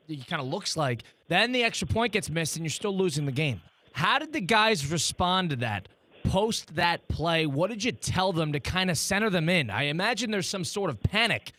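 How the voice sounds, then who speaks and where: A man's voice: on the high side, sharp tone. A man working as a sports radio interviewer, conversational and eager, asking long, wordy questions.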